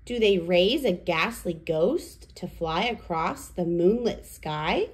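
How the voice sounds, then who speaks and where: Animated, slow-paced woman children's presenter. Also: fairly low pitch. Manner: exaggerated, simple, child-directed delivery. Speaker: children's educational presenter and storyteller. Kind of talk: toddler lesson and picture-book read-aloud.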